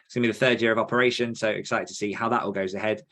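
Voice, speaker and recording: A man hosting a podcast, talking casually in an informal, somewhat halting way. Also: fairly low pitch, steady tone, fairly bright tone. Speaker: podcast host.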